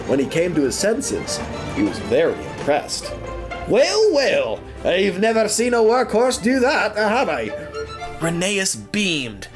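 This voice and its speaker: A man giving scripted, expressive narration for an audio drama in a storybook style, using dramatic pauses, with music underneath. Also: medium pitch.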